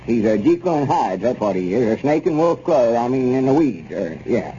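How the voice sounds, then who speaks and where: An actor playing an aggrieved, stubborn older storekeeper in a 1930s radio comedy, with a rural Southern accent and folksy, grumbling talk. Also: male voice, mid-range voice, very animated, normal speed, warm tone, natural voice. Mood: bitter, indignant. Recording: noisy dull 1930s radio recording.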